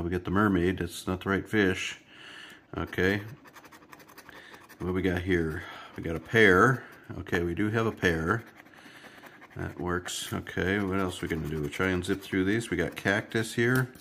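A man's voice: low voice, a bit bright, slight background noise. A man hosting a scratch-ticket video at his kitchen table, chatting to viewers with a stuffy, congested nose. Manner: chatty, rambling, talks to viewers while scratching. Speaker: lottery scratch-off channel host. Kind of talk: casual scratch-ticket commentary.

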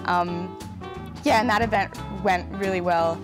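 A woman's voice: fairly low pitch, a little slow, over music. A young adult Australian woman recounting experiences in a casual interview. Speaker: youth climate network member. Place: indoor living room on a sofa.

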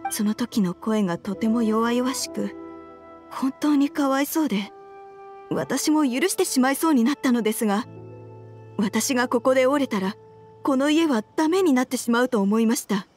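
A woman playing an earnest, troubled wife and mother in a video game, speaking politely and with measured care. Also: on the high side, animated, darkish tone, faint music behind, natural voice. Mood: regretful.

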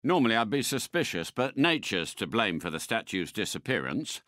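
An actor playing a suave, courteous British spy car in a video game, a polite and unflappable mentor with refined, precise phrasing. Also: male voice, fairly low pitch, sing-song, moderate pace, a bit bright, dry recording, clean studio sound. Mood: composed, instructive. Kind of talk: scripted game character voice lines.

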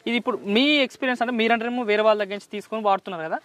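Quick young guy asking farm questions outdoors, high voice, dry close lapel sound. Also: steady tone, fairly bright tone, no echo. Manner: quick, prompting, repeats answers back. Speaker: host interviewing a farmer. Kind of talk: agricultural interview. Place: outdoors on a farm beside a tractor.

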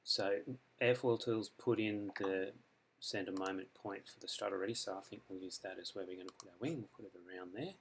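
An Australian man explaining a computer design program step by step in a relaxed tutorial, with frequent ums. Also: deep voice, a little fast, a little hiss or hum. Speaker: software author demonstrating his add-in. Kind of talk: screen-recorded software tutorial. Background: mouse clicks and keyboard typing.

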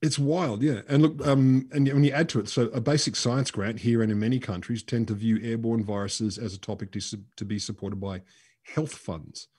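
A man's voice: mid-range voice, steady tone, moderate pace, a little mellow, slightly rough. A middle-aged Australian man, co-host of a podcast, telling a science story in emphatic casual chat over a headset from a room at home. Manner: storytelling, emphatic, gestures while talking. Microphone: headset on a video call.

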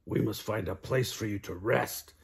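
A man voicing a sly fox in a children's storybook, coaxing and deliberate, with a rumbling delivery. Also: gravelly, breathy edge, full character voice.

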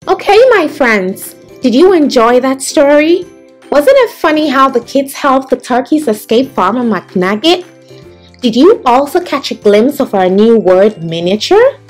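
Animated woman reading to children, slow-paced. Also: a little high. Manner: deliberate, animated, addressing young listeners. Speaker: storyteller reading children's books aloud. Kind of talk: expressive picture-book read-aloud for children.

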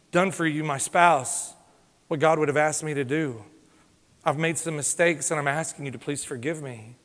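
A pastor preaching a fervent sermon, swinging from loud exhortation to hushed, confessional pleading. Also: male voice, high-pitched. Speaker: lead pastor. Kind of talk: impassioned sermon. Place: church sanctuary. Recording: long hall reverb from a church sanctuary.

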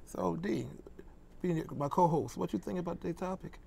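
A man's voice: high voice, moderate pace, vocal fry, mellow, a little hiss or hum. A middle-aged man hosting a casual studio talk show, repeating and rephrasing himself as he goes. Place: small TV studio with curtains. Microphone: lapel mic.

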